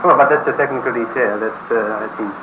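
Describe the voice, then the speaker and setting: A man's voice: medium pitch, brisk, roomy sound. A man in an informal staff meeting, hesitant and searching for his words. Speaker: programme staff member in a meeting. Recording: noisy archival meeting recording.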